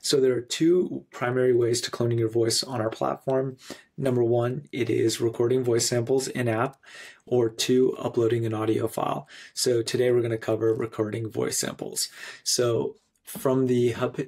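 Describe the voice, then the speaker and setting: A man in his thirties narrating a screencast tutorial in an instructional, clearly phrased way. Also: medium pitch, level tone, normal speed, a little mellow. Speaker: software tutorial presenter.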